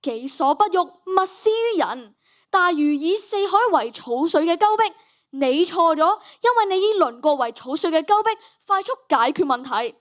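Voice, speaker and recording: A girl plays a solemn ancient philosopher who corrects others. The voice is high and childlike, with a Hong Kong Cantonese accent, in radio-drama style. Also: expressive, moderate pace. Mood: solemn, reproving. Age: child, primary school student. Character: solemn male philosopher sage, morally reproving. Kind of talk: school radio drama acting.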